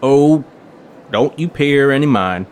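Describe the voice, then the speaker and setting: A man's voice: medium pitch, expressive, a little slow, a little hiss or hum, natural voice. An actor playing an eager, folksy small-town hobbyist man in an audio drama, chatty and full of slang. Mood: jovial, chatty.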